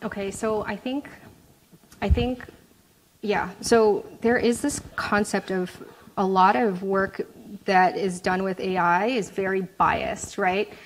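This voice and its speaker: A woman's voice: medium pitch, steady tone, takes it slowly, a little hiss or hum, low-quality recording. A woman answering audience questions after a talk, casual and hesitant, with many fillers and restarts.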